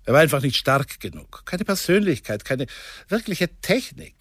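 Radio drama part: contemptuous music boss, raised pitch, very dry sound, punchy emphatic phrases. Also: male voice, sing-song, normal speed, a bit bright, breathy edge, no echo, full character voice. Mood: contemptuous, agitated. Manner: emphatic, hammering short judgments. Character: scornful, agitated male record producer. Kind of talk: radio play acting.

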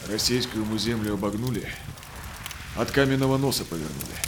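An actor playing a steadfast, devout Russian Cossack explorer in a rhymed verse audio drama, with measured, solemn delivery. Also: male voice, fairly low pitch, animated, background noise. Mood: weary.

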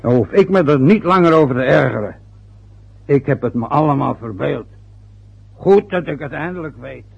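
Aging father, stubborn and sarcastic, gravelly voice, lo-fi radio drama. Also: on the high side, expressive, moderate pace, exaggerated voice. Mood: aggrieved. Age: older. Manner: grumbling, sarcastic. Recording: dull lo-fi old radio recording.

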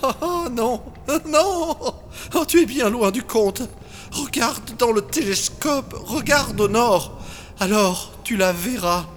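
A man's voice: extremely high pitch, lively intonation, slow, a little hiss or hum, heavily acted. A man playing a delirious, frail survivor in a science-fiction audio drama, with a theatrical, rambling, mocking and mystical manner. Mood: feverish, mocking. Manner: theatrical, rambling, swinging between laughs and wails.

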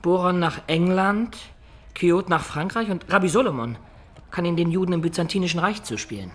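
A man's voice: high-pitched, moderate pace, natural voice. An actor playing a wistful adventurer in a radio drama, recounting his life in a reflective, confiding way. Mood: eager, practical. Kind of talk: radio drama acting and storytelling.

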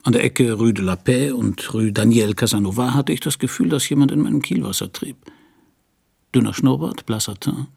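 An actor playing a middle-aged hard-boiled private detective in a radio crime drama, dry and laconic. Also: male voice, mid-range voice, moderate pace, a little mellow. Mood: dry, wry. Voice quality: husky, gravelly.

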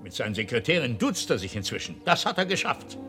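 A man's voice: mid-range voice, big pitch swings, fast, bright, forceful tone, soft background music. An actor playing a domineering, hot-tempered middle-aged father and company boss in a radio drama, imperious and sarcastic. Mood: indignant, scornful.

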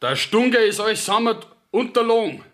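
A young peasant defendant in a Bavarian dialect radio play. His voice sits a little high for a man. He is stubborn and plain-spoken, rambling along in simple rustic storytelling. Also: lively intonation, moderate pace, fairly bright tone. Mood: defiant.

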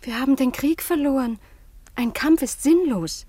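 A woman playing a devoted, frightened princess in a radio drama, tender and halting, her breathy voice often near a whisper. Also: sits high, warm tone, no echo, natural voice. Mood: anxious. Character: devoted, frightened young daughter of a Roman emperor. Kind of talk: theatrical German radio drama acting.

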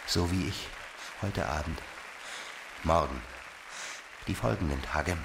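An actor playing an ardent seducer in a radio drama, speaking in halting, intimate declarations. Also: male voice, low-pitched, creaky, exaggerated voice. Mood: earnest, yearning. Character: smooth, ardent male seducer wooing a married woman. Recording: loud crowd noise and ambience behind.

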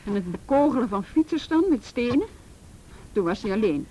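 A woman acts a businesslike juvenile police officer in a radio play. Her voice sits fairly high and her manner is firm and brisk. A light rumbling noise lies under the recording. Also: expressive, warm tone, lightly acted, rough recording. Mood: stern, probing. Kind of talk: Dutch radio play acting.

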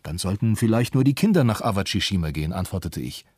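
A man voicing a worried family father who recounts his memories in a sober, measured way, as scripted radio documentary narration. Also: low-pitched, lively intonation, darkish tone, breathy edge, no room sound, clean studio sound. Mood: sober, troubled. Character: worried family father in Tokyo recalling the disaster.

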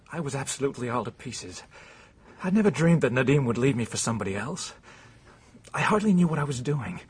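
Anguished, husky, browbeaten man. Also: medium pitch. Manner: emotional, halting, intense. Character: anguished, browbeaten stepson confessing, defensive and bitter. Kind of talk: radio drama acting.

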